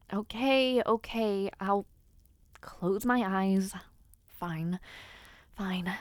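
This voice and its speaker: A woman acts out a young highborn girl in an intimate fantasy audio drama. She speaks slowly and softly, with a sweet, playful temper and small hesitations. Her mid-pitched voice sits in a very dry, intimate recording. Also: no echo. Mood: flustered, playful. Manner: soft, hesitant, gently teasing.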